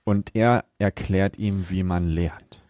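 A man's voice: low voice, steady tone, slow pace, mellow, no room sound. A man, co-hosting a podcast in casual chat about a film. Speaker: podcast co-host.

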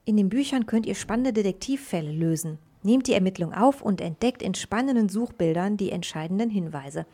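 A woman's voice: medium pitch, lively intonation, brisk, hardly any pauses, warm tone. A woman presenting a children's radio show in a scripted style.

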